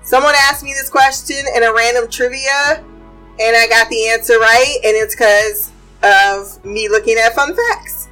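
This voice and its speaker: A high-pitched woman hosts a casual chat show, talking in a bright, forceful tone. She is chatty and blunt, swears freely and moves quickly from gossip to trivia. Faint music runs underneath. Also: steady tone, low-quality recording. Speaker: podcast host. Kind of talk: casual pop culture chat and fun facts.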